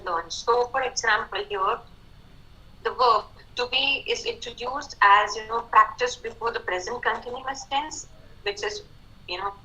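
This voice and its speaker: A woman's voice: mid-range voice, bright, forceful tone. A woman giving a talk on language teaching methods, with a lot of conversational "you know" fillers. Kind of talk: lecture to educators. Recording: tinny phone-line sound.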